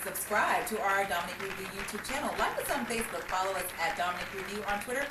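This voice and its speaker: A woman's voice: medium pitch, fast talker. A woman announcer delivering a scripted broadcast sign-off for a radio drama. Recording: echoey distant room, clear background noise.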